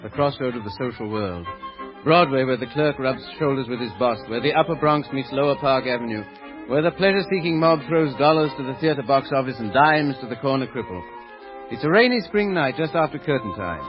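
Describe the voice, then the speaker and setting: A man's voice: mid-range voice, expressive, a little fast, natural voice. A man performing as a grandiose scene-setting narrator in scripted old-time radio style. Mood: dramatic. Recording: muffled old radio recording with music.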